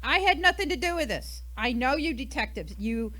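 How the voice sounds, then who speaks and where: A feisty, huffy American woman under suspicion , played by an actress, in a radio mystery. Her voice is high and sharp, swinging widely in pitch as she snaps back defensively. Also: animated, normal speed, exaggerated voice. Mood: indignant, flustered. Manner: brash, snappy, huffy. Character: feisty, defensive, indignant single American woman, murder suspect. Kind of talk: old-time radio mystery acting.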